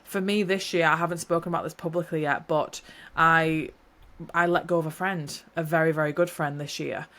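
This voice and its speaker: A woman's voice: low voice, steady tone, fairly quick, a bit bright. A young woman hosting a solo podcast, a little nasal and stuffy from hay fever, talking in an earnest, rambling, heartfelt way. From New Zealand. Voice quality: slightly nasal. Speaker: podcast host. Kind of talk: casual solo podcast talk.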